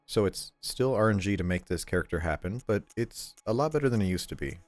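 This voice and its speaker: Stuffy, low-voiced male streamer. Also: animated, brisk, no echo. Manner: rambling, easily sidetracked, clears throat often. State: stuffed up, short of breath. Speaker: game streamer. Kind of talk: casual gameplay chat.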